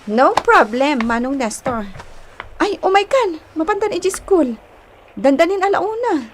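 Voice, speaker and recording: A woman's voice: very high voice, very animated, a little slow, a little hiss or hum, heavily acted. An actress with an Ilocano Filipino accent playing a sweet, coy young woman in a radio drama. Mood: friendly.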